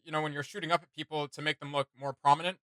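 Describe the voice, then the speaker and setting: A man's voice: a little high, level tone, rapid-fire, pushed and bright, clean tone, no room sound, studio quality. A man hosting a casual reaction video, chatting in an enthusiastic, rambling and slangy way.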